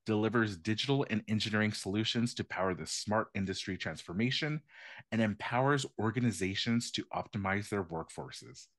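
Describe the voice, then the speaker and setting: A man's voice: lowish voice, no room sound. A man hosting a podcast, reading a formal introduction of his guest and then thanking her. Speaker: podcast host.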